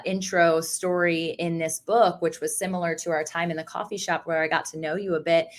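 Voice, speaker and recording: A woman's voice: fairly low pitch, fairly bright tone. An enthusiastic woman hosting a faith-based interview podcast, laughing often and restarting her phrases as she goes. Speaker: podcast host.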